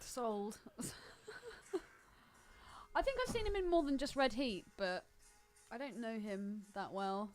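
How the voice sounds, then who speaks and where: Woman co-host, fairly high and very animated, trading wry casual banter. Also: slow pace, long pauses, faint music behind. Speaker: podcast co-host.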